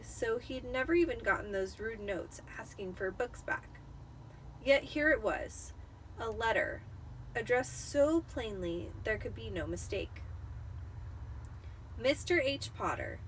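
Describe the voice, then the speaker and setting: A woman's voice: fairly high pitch, a bit bright, distant mic, slight background noise, poor audio. A tipsy woman doing an amateur, dramatic reading of a story as narrator. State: tipsy from wine. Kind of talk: dramatic audiobook-style storytelling.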